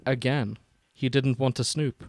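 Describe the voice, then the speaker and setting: A man's voice: medium pitch, fairly even intonation, measured pace, darkish tone, no echo, clean studio sound. A man co-hosting a podcast, reading fan fiction aloud with sarcastic asides and casual swearing. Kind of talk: casual chat with dramatic reading.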